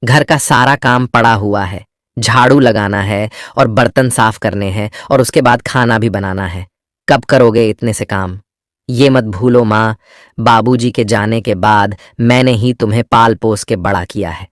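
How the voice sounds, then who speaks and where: A man's voice: lowish voice, a little slow, dry recording, studio quality, close to an everyday voice. Man acting a bossy, greedy elder brother. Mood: scolding. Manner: domineering, scolding. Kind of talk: children's cartoon story voice acting.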